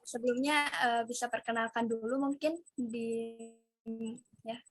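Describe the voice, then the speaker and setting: A woman's voice: on the high side, steady tone, measured pace, slight background noise. A young woman hosting a casual student interview, chatty and curious as she asks her guests questions. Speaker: host.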